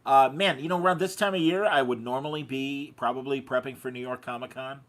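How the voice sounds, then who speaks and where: A man's voice: medium pitch, steady tone, fairly quick, fairly bright tone. A man hosting a podcast, chatting casually and effusively with his guests. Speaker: podcast host. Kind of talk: casual interview chat.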